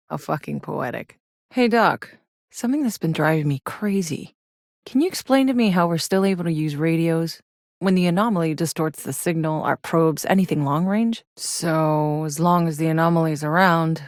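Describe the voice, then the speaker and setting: A woman's voice: fairly low pitch, dry recording. An actress playing a tough, weary, sardonic female soldier in a sci-fi video game, blunt and dry in manner. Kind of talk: scripted video game character dialogue.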